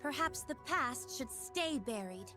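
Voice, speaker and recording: An earnest, wary young heroine from a desert game world. Her voice is fairly high and expressive, with faint music behind. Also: unhurried, exaggerated voice. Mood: gentle reproach.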